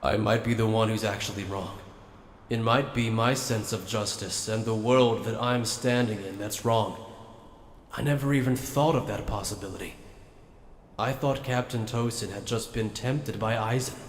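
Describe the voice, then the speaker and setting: A man's voice: lowish voice, fairly even intonation, normal speed, natural voice. A man playing an earnest, troubled soldier in an anime fan dub, speaking introspectively. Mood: regretful, reflective. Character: earnest, troubled male soldier reflecting on his justice. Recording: roomy long reverb, faint noise.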